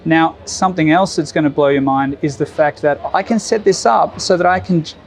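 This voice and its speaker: A man's voice: fairly high pitch, fairly quick, creaky. A man giving an enthusiastic product demonstration, with music playing under his voice.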